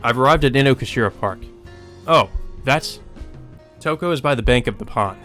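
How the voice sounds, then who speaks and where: A man's voice: medium pitch, lively intonation, normal speed, bright, forceful tone, faint music behind. A man narrating a visual novel aloud as a let's play host, with brief asides of his own.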